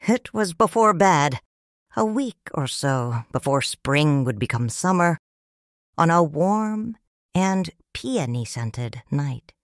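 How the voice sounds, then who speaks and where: Slow low-voiced woman narrator, tender. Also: very animated, dry recording, clean studio sound. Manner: slow, soft, tender and reflective. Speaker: first-person narrator, a grieving widow and mother. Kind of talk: scripted audiobook narration.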